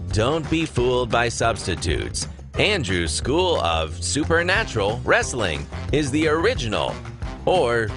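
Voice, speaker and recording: A man playing an upbeat huckster announcer in a parody radio commercial, punchy and wry. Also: medium pitch. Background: music bed.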